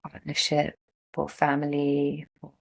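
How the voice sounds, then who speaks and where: Slow, low-voiced woman guiding meditation. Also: monotone, vocal fry. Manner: gentle, unhurried phrasing with long pauses. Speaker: meditation and yoga teacher. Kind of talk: guided meditation, slow instruction.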